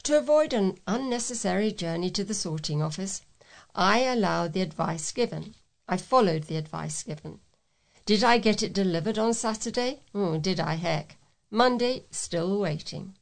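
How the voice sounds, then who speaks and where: British female volunteer reader, mid-pitched, for a local talking newspaper's letters segment. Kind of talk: reading readers' letters aloud.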